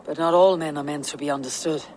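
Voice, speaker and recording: A male actor as a stoic Viking raider with a slightly high voice for a man. The delivery is measured and grave, like dramatic game dialogue. Faint noise and lapping ambience sit behind it. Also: fairly even intonation, darkish tone, natural voice, low-quality recording. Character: stoic battle-hardened Viking warrior raider, grave and loyal. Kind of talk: dramatic video game acting.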